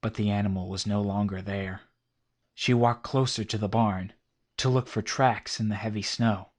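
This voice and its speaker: A man narrating a horror story in a steady, scripted, documentary-like way. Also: lowish voice, moderate pace. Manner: steady documentary-style telling.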